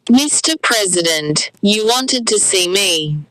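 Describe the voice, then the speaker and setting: Woman as a grave, mid-pitched military advisor. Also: expressive, slow, bright, forceful tone, low-quality recording.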